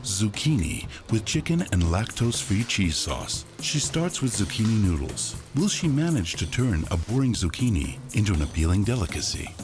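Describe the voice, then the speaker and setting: A man's voice: on the low side, sing-song, moderate pace, hardly any pauses. A man giving dramatic scripted narration for a cooking show. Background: background music.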